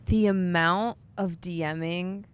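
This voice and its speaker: A woman's voice: on the low side, slow, smooth. A woman dungeon master chatting animatedly with her players, laughing and poking fun at herself. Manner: animated, self-deprecating, laughing storyteller. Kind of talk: casual chat before a tabletop game.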